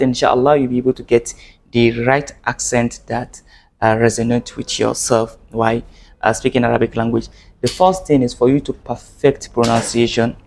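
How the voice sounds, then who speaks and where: A man gives a video lesson to camera. His pitch sits at a middle level for a man. He speaks in an earnest way, often repeating and restarting phrases as he explains. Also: vocal fry, darkish tone. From Nigeria. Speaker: Arabic teacher.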